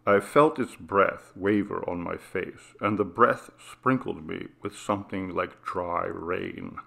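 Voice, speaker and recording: Guy reading a ghost story, low gravelly voice, takes it really slow. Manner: measured, hushed storytelling. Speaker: audiobook narrator. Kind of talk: scripted narration of a ghost story.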